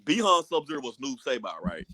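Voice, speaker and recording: A bright, forward American male voice in a casual podcast conversation. He speaks as one of the hosts, and the sound is dry and close. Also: medium pitch, sing-song, brisk, no room sound. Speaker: podcast host. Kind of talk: casual group podcast chat.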